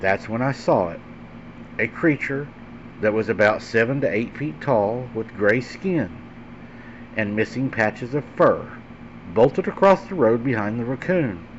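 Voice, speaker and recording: A man narrating a scary story as host, in a dramatic, suspenseful way with deliberate, emphatic phrasing. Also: mid-range voice, animated. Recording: dull lo-fi sound with faint background hum.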